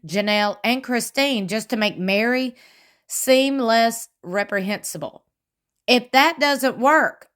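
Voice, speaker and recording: A woman, commenting on a reality show, reading fan posts aloud and adding wry asides while sitting in a squeaky chair at home. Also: fairly bright tone. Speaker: commentary vlogger.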